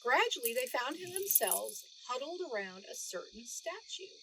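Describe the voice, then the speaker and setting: Animated woman reading a children's story. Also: high voice, a little slow, noisy background. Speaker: storybook reader. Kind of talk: expressive children's read-aloud narration.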